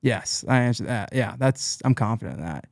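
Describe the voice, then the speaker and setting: A young adult man, a guest on a podcast, talking casually in a hesitant, halting way. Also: medium pitch, lively intonation, darkish tone, no echo, studio quality. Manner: hesitant, halting, many restarts and fillers. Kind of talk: casual chat. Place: small cozy studio room, indoors. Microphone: studio podcast mic on boom arm.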